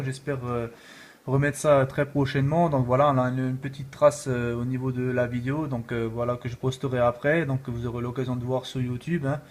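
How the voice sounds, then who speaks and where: Casual rambling man on radio duty. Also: medium pitch. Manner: rambling, repeats filler tags. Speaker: amateur radio operator. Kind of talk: casual radio contact chat.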